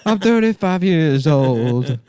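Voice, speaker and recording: A man's voice: a little high, animated, slow, warm tone, clean tone, no echo. A man playing a pathetic, whining thirty-five-year-old gamer who lives at home, in a comedy podcast bit. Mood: mournful crooning.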